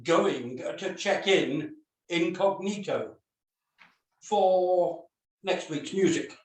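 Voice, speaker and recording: Male actor as stern, pompous hotel boss, slow formal delivery, slightly high male pitch, roomy echoing sound. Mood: flustered, officious. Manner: formal, pompous, deliberate speechmaking. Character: stern, self-important male hotel manager. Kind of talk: scripted screenplay read-through.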